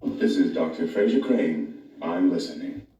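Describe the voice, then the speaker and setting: An actor playing a pompous middle-aged radio psychiatrist who speaks with theatrical, exasperated diction. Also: male voice, low voice, measured pace, dull audio. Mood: dignified, exasperated. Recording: TV clip with distant, echoey sound and loud background.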